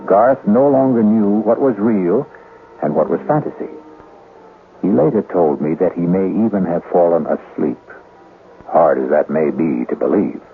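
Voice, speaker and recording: A man hosting and narrating a suspense radio drama in a measured, ominous, dramatic manner. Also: low-pitched, slight background noise. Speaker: host and narrator. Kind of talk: scripted suspense narration for old-time radio. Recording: tinny old radio broadcast, music behind.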